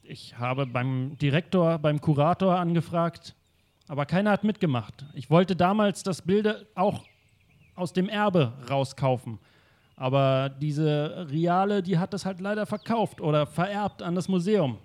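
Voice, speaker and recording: A man's voice: on the high side, measured pace, darkish tone, natural voice. A man voicing a rich, eccentric male art collector who talks in an indignant, self-assured way.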